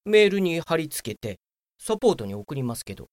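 A woman's voice: low-pitched, very animated, brisk, a little mellow, no echo, studio quality. A woman playing a whiny, self-important young male office worker in a comedic radio drama, full of grumbles and excuses. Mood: cheeky, impressed.

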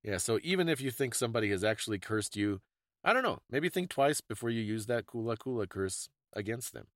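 A man's voice: mid-range voice, expressive, a little fast, dry recording, clean studio sound. A man co-hosting a podcast, explaining things in a casual, conversational way with thoughtful, slightly hesitant phrasing. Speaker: podcast co-host.

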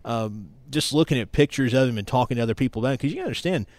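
A man's voice: mid-range voice, lively intonation, rapid-fire, darkish tone, no room sound. A middle-aged American man from East Tennessee, a sports broadcaster talking as an interview guest in an earnest, emphatic storytelling way. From East Tennessee, United States. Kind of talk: conversational interview, reminiscing.

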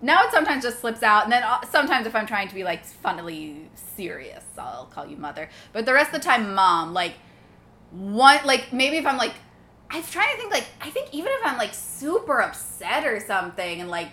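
Bright-voiced young woman, chatty. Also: a little high, very animated, fairly quick. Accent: neutral American. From Manhattan, New York. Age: young adult. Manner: casual, lots of "like" fillers. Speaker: podcast co-host. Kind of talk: casual chat. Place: apartment.

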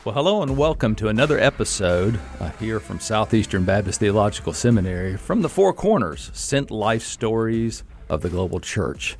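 A man's voice: fairly low pitch, creaky, darkish tone. A man hosting a podcast interview as a seminary professor, talking in a friendly, easygoing way and often restarting his phrases.

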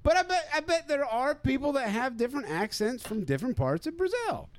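A man's voice: very high voice, very animated, brisk. A man co-hosting a casual kitchen chat, ribbing his friends with wisecracks. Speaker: podcast co-host.

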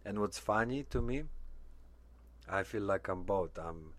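A man's voice: fairly low pitch, a little slow. Laid-back man. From Poland. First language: Polish. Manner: relaxed, rambling, frequent swearing. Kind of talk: casual chat.

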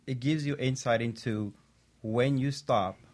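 A man talking as a guest on a talk show, reflective and measured, with a few restarts. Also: mid-range voice, darkish tone.

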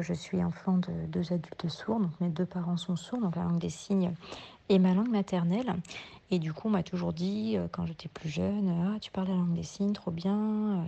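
A woman, the founder of an app, explaining calmly in a recorded message, with frequent fillers. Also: on the low side, gravelly. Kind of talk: recorded phone message interview. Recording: dull lo-fi phone recording.

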